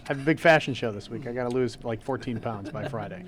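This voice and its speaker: A man co-hosting a casual interview, joking and asking lively questions. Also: medium pitch, fast, crowd noise. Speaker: co-host interviewer. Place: restaurant bar.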